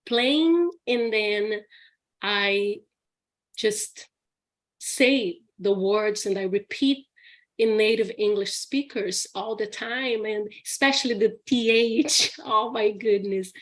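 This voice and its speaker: A woman speaking English with a Brazilian accent, answering viewer questions as a teacher at an easy, slow pace. Her voice sits at an ordinary middle pitch. She talks in a chatty way, laughs often and keeps checking in with "okay?". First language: Portuguese. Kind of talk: live Q&A session.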